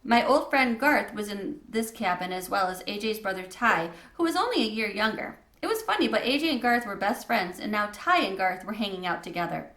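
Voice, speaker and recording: Lady reading a kids book out loud, expressive, medium pitch, echoey room. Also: fairly quick, few pauses. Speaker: read-aloud storyteller. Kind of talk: children's storybook narration.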